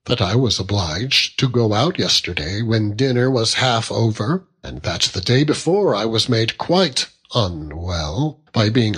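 A man voicing a fond, earnest young Victorian husband who gently reproves and reasons, in a storybook reading. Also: lowish voice, breathy edge, full character voice.

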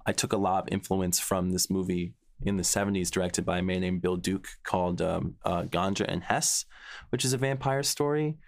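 A man with a very low-pitched voice speaks in a casual podcast discussion. He is thoughtful and searches for his phrasing, doubling back on words as he explains his creative choices. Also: normal speed. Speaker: podcast guest, series writer. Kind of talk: casual behind-the-scenes discussion.

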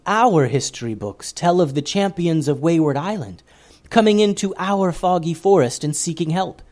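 A man reading a storybook aloud and voicing a wise female forest leader who speaks slowly and solemnly. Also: on the high side, expressive, darkish tone, pitched above the performer's own voice, close to an everyday voice. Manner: slow, measured, solemn.

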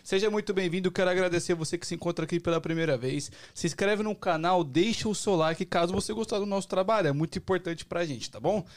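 A man's voice: sits high, fast talker, hardly any pauses. Playful man opening a live podcast. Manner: playful, teasing, hypes the audience. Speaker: podcast co-host. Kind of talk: casual live podcast chat.

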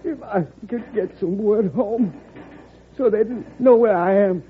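A man's voice: high voice, unhurried, narrow, tinny audio. An actor playing a wounded young soldier, a frightened yet defiant boy in pain, in an old radio drama. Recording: thin, hissy old radio recording.

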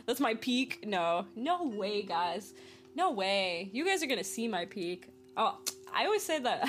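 A woman in her early twenties hosting a casual solo podcast, rambling and upbeat, laughing often as she talks. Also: medium pitch. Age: 20s. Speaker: podcast host. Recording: faint singing bowls behind. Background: soft singing bowls.

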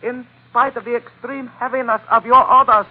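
Very high-voiced servile henchman. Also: level tone, normal speed. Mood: apologetic. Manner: obsequious, ornately formal phrasing. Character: servile Chinese henchman, flowery and fearful. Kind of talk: 1940s-50s radio adventure acting. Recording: tinny vintage radio recording.

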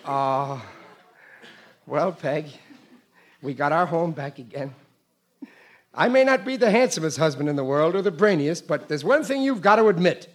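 An actor playing a blustering, soft-hearted working-class American husband in a 1940s radio sitcom, boastful and folksy, with hesitations. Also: male voice, fairly high pitch, sing-song, normal speed, pauses often. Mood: smug, affectionate. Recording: noisy old radio broadcast recording.

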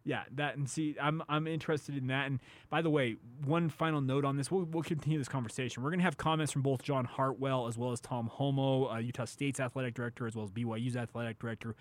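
A man's voice: medium pitch, fairly even intonation, fast talker, hardly any pauses, dry recording. A man co-hosting a sports talk show, giving opinions in a casual, colloquial way. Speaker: sports radio show co-host.

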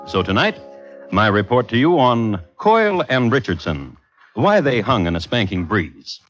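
An actor playing a wry, genteel crime connoisseur, narrating with dry, measured delivery as a radio host. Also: male voice, medium pitch, very animated, fairly bright tone, no echo. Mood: solemn, wry. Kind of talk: 1950s radio scripted narration. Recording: muffled old radio recording, music behind.

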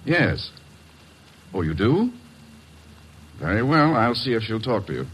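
An actor playing a smug, manipulative middle-aged doctor in a 1940s radio drama, speaking precisely and condescendingly. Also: male voice, medium pitch, big pitch swings, measured pace, fairly bright tone, a little hiss or hum.

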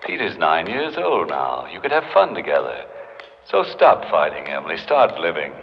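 An actor in an old radio drama playing a suave, patronizing spirit of the mirror, formal and gently taunting. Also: male voice, low-pitched, huge pitch swings, normal speed, gravelly, heavily acted. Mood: coaxing. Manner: formal, urbane, condescending. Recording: muffled noisy old radio, long reverb.

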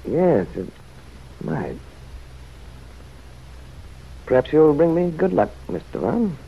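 A husky, smooth-talking radio-serial charmer. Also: male voice, on the high side, big pitch swings, unhurried, exaggerated voice. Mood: flirtatious. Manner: suave, gallant, teasing, wordy. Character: smooth, flirtatious, idle male charmer with a calculating streak. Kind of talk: 1940s radio serial acting. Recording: dull, lo-fi old radio recording.

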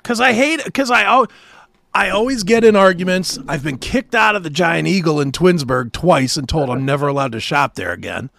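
A cantankerous American man chats and rants as a talk show co-host. His voice is on the high side with a heavy fry, recorded close and dry. He is blunt and swears freely. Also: moderate pace, fairly bright tone, dry recording. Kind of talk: casual chat, ranting.

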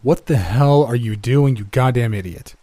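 A man voicing a bullying older male diner owner who yells, curses and sneers. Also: medium pitch, takes it slowly, no room sound. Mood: furious. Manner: yelling, cursing, contemptuous.

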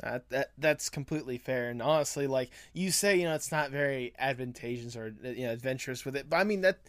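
A man's voice: medium pitch, fast talker. A man hosting an interview in a casual, encouraging and complimentary way. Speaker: interview host. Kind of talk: casual chat.

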